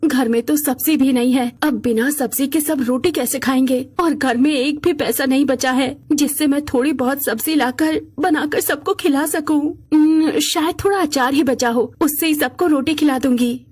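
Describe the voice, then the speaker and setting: A woman's voice: high-pitched, normal speed, hardly any pauses, darkish tone, natural voice. A woman voicing a poor, devoted young mother in a cartoon story, earnest and pleading. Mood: worried.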